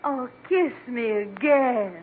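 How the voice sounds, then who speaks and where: Slow, cooing flirtatious woman. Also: a little high. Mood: flirtatious, swooning. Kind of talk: 1950s radio comedy acting. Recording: tinny, very noisy 1950s radio recording.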